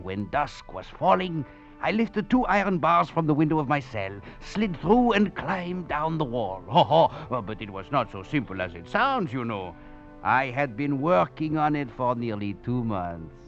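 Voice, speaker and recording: An actor playing a wry, cunning male escaped prisoner who narrates his tale with chuckles and theatrical flair, in a 1940s radio drama. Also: medium pitch. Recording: dull old radio recording, faint music.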